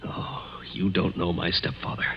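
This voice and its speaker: A man's voice: low-pitched, monotone, measured pace, vocal fry. Haunted young male scientist: tense, brooding radio-drama delivery, muffled old recording with light hiss. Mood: haunted, fearful. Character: frightened, defiant young scientist, haunted and unnerved. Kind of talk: old-time radio thriller acting.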